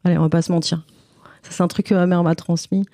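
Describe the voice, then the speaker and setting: A woman's voice: fairly low pitch, little pitch movement, fast talker, mellow, no echo, clean studio sound. A woman from France, speaking candidly and informally as a guest in a casual interview. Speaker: podcast guest, cleaning lady and visual artist.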